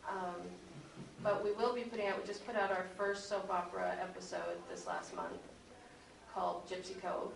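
A woman's voice: medium pitch, expressive, normal speed, creaky. A woman speaking informally as a panelist on a convention panel about her work as a producer and voice actor. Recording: echoey room, distant mic, faint noise.

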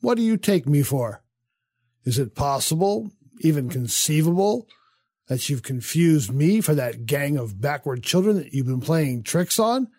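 A man acting in an audio drama as an urbane, sardonic theatre critic with a measured, condescending manner and quiet menace. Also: a little high, very animated, breathy edge, no room sound, studio quality, exaggerated voice. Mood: cold, commanding. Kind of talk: audio drama acting with first-person narration.

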